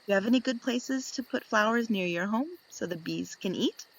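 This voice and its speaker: A woman reading a picture book aloud to young children, expressive and instructive, putting questions to her listeners and answering them. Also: medium pitch, fairly quick, creaky, rough recording.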